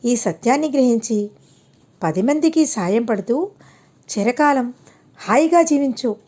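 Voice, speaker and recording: A woman's voice: fairly high pitch, lively intonation, measured pace, a little mellow. A woman voicing a wise, benevolent male hermit sage who gives solemn moral counsel in an audio storybook.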